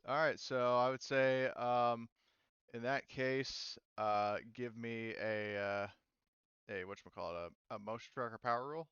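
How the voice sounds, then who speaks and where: A man's voice: medium pitch, steady tone, unhurried, fairly bright tone, clean tone, no echo, lo-fi sound. A man running a tabletop game as game master, patiently walking players through the rules on a voice call.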